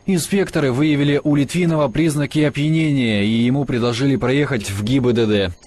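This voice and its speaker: A man narrating a scripted TV news report as the field reporter, measured and slightly ironic. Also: mid-range voice, steady tone, a little mellow, slight background noise.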